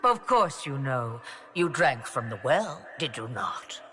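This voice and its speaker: A woman's voice: a bass voice, sing-song, slow, sharp tone, heavily acted. An actress playing a sly, ancient witch grandmother in a video game, theatrical, drawling and archly mocking. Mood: commanding, sly. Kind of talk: dramatic video game cutscene acting.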